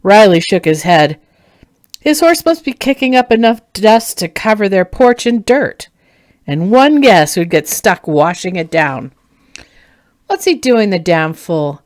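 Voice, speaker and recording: A woman narrating a fantasy novel as its author, in an expressive storybook reading style. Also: mid-range voice, unhurried, dry recording, clean studio sound.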